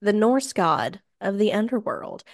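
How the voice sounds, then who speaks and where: A woman's voice: mid-range voice, big pitch swings, unhurried, no room sound. American woman, podcast host, dry close sound, casual storyteller, irreverent, sweary, joking. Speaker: podcast host telling spooky legends.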